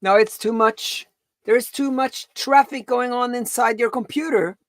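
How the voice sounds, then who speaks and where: A woman's voice: a little high, a little slow, no echo, clean studio sound. A woman co-hosting a coding live stream with casual chat and short asides.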